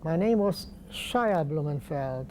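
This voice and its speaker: An older man from Poland, speaking slowly and deliberately with pauses in an oral history interview. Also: fairly high pitch, animated, a little mellow, a little hiss or hum. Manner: slow, deliberate, halting with pauses.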